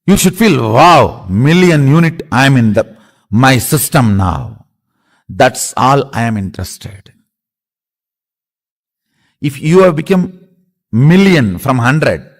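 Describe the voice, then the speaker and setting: A man with an Indian accent giving a spiritual discourse as a guru, speaking emphatically in halting, short, repeated phrases. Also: a little high. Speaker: spiritual guru teaching a course.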